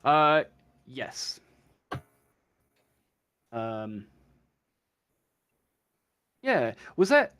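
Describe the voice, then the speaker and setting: A man speaking as game master in casual tabletop game talk, halting and searching for words as he goes. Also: mid-range voice.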